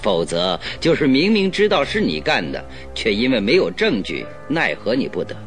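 An actor playing a shrewd, playful master detective in a Mandarin radio drama, with a leisurely, theatrical, self-assured manner. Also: male voice, medium pitch, wildly swooping pitch, moderate pace, fairly bright tone. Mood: explaining, triumphant. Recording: faint music behind the voice.

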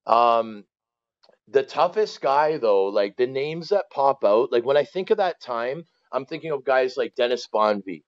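A man in his forties with a Canadian accent, telling stories casually as a podcast guest, colloquial and animated, often checking that the listener follows. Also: mid-range voice, unhurried, dry recording, highs cut off. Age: 40s. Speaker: former pro hockey player, interview guest.

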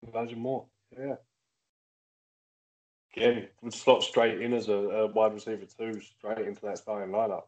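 A man's voice: fairly low pitch, level tone, normal speed, pauses often, darkish tone. Chatty man joking, dull sound. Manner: chatty, laughs at his own jokes. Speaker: podcast co-host. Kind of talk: casual chat.

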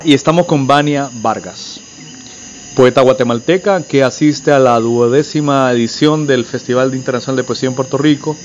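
A man's voice: medium pitch, moderate pace, faint music behind. Man asking long winding intellectual questions. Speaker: interviewer, host. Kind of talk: interview question.

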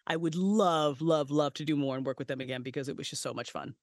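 Woman, podcast guest, lively swings in pitch, cusses a lot, laughs easily, dry booth sound. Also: fast talker, no room sound. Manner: animated, swears freely, laughs often. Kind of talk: casual chat. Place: home recording booth.

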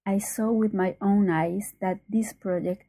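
A Colombian woman, a native Spanish speaker, giving a prepared personal narration with measured, careful phrasing and a Colombian accent. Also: medium pitch, fairly even intonation, warm tone, no room sound, rough recording. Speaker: circus teacher and performer.

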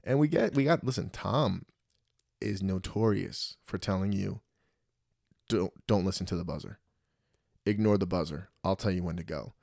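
A man in his thirties telling stories in a casual podcast chat, profane and animated. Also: low voice, moderate pace, gravelly, long pauses, no echo, studio quality. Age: 30s. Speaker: podcast guest.

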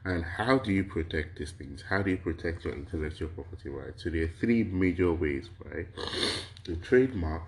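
A man from Nigeria giving an explanatory lecture, his voice a little hoarse from a cold, asking rhetorical questions and stringing examples into lists. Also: sits low, expressive, moderate pace. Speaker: lecturer on intellectual property.

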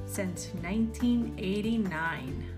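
Animated bilingual woman podcast co-host. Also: medium pitch, takes it slowly, over music. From Mexico. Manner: animated, laughs often, switches between English and Spanish. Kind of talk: casual bilingual chat.

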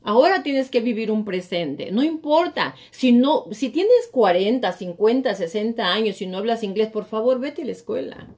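A woman's voice: fairly high pitch, expressive, moderate pace, few pauses, a little mellow. A middle-aged woman, giving an emphatic motivational talk as a coach on a live show, often repeating phrases. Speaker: real estate coach and live show host.

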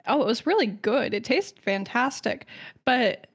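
A woman on a casual podcast, her voice sitting on the higher side. She chats freely, laughs easily and tells stories with dramatic flair. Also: animated, a little slow, husky. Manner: chatty, giggly, dramatic storytelling. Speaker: podcast co-host presenting lore research.

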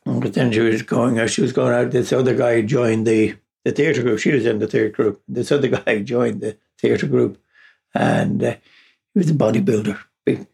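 An Irish man tells rambling personal stories, chuckling often and restarting his sentences. His voice is heavily gravelly and rough, with a very husky, breathy grain, sitting at an ordinary male pitch. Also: fairly even intonation, moderate pace, a little mellow. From Ireland, raised partly in England. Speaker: podcast guest. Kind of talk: casual personal storytelling.

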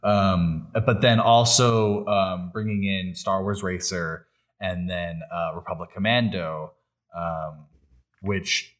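Deep-voiced man chatting casually. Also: steady tone, slow, a bit bright. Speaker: podcast co-host. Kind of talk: casual chat about Star Wars games and shows.

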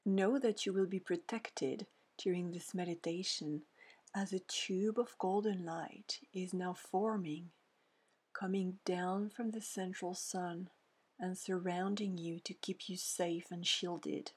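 A woman leading a guided meditation in a soft, breathy, near-whispering voice, gently soothing, with pauses between short phrases. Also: fairly low pitch, steady tone. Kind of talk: guided meditation, scripted narration.

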